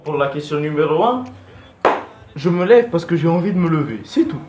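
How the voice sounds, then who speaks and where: A man's voice: on the high side, lively intonation, a little fast, background noise. A male host giving casual, rambling and blunt fan commentary in an informal vlog. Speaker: video host.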